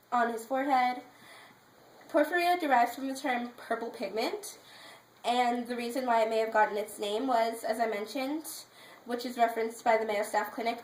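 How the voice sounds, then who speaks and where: Female presenter, slightly high voice, explanatory and a bit halting, informative speech recorded in a roomy space. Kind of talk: prepared informative speech with slides. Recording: echoey room with faint background noise.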